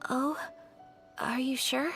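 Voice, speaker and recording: A woman voicing a gracious, gentle young noblewoman in an anime game, with formal and courteous phrasing. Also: sits high, steady tone, slow pace, exaggerated voice. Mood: polite, curious. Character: refined, gracious young Japanese-styled noblewoman, gentle and polite. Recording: soft music behind the voice.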